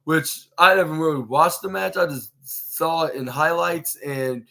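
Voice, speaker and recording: A man's voice: on the high side, a little slow, creaky, bright, forceful tone. A man hosting his own podcast, recapping a show in a rambling way with many fillers and restarts. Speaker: podcast host. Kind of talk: casual solo show recap.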